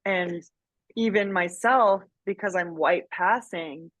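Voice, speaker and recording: A woman in her thirties from Mexico, a guest in a casual podcast chat, bubbly and quick to laugh. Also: fairly low pitch, dry recording. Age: 30s.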